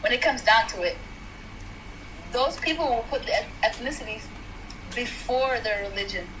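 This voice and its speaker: A woman's voice: fairly high pitch, moderate pace, background noise. A young woman from South Sudan in casual chat, making her case with many "like"s and "right?"s. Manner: animated, talks through points with many "like" and "right?".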